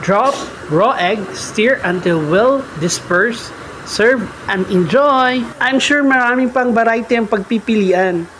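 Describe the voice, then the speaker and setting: A man's voice: very high-pitched, slow, a bit bright, slight background noise, poor audio. A man with a Filipino accent hosts a bedtime story show, reading listener letters aloud and mixing English into his talk. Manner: switches between Tagalog and English.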